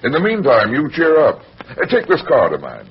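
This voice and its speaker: An actor playing a wry, kindly hard-boiled detective in an old-time radio drama, clipped and matter-of-fact. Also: male voice, mid-range voice, huge pitch swings, a little fast, breathy edge, full character voice. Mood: reassuring. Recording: muffled, noisy old radio recording.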